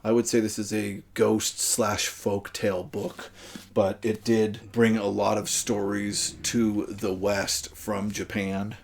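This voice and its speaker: A man's voice: on the low side, completely flat delivery, takes it slowly, vocal fry, slight background noise. A man presenting to viewers in an informal, explanatory way, with an enthusiastic manner. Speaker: video presenter on book history.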